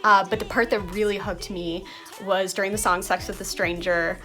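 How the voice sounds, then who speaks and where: A woman's voice: mid-range voice, fairly even intonation, normal speed, a bit bright, faint music behind. A woman fan talking enthusiastically about a favorite show, laughing often. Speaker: fan sharing testimony. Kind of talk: casual fan testimonial.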